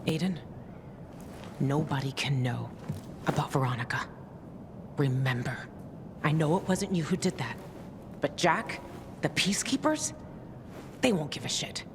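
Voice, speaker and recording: A woman's voice: lively intonation, a little slow, long pauses, long reverb tail. A woman playing a tough, protective ally in a video game, speaking in a guarded, direct and urgent manner. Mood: hushed worry. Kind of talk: video game cutscene acting. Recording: loud background rumble.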